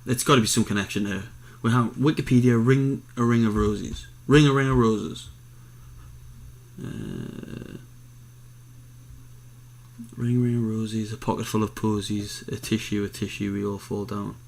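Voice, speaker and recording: A man's voice: lowish voice, a little slow, vocal fry, pauses often, a little hiss or hum. A man co-hosting a podcast in casual chat, musing and asking questions with the odd swear word. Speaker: podcast co-host.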